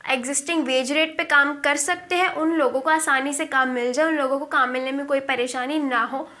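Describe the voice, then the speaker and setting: A woman's voice: sits high, fairly even intonation, a little fast, bright, forceful tone. A woman teaching an economics lesson, asking her own questions and answering them for her students. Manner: mixes Hindi and English, asks and answers her own questions. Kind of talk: classroom lesson explaining exam terms.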